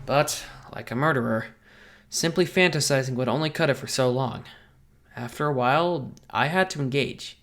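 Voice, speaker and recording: A man's voice: mid-range voice, normal speed, lightly acted. A man voicing a geeky, idealistic teenage boy who narrates a comic dub in a wry, self-deprecating way. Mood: wry, reflective. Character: geeky, idealistic teenage boy, self-made masked hero, wryly sardonic. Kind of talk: first-person narration and dialogue in a comic dub. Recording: loud music under the voice.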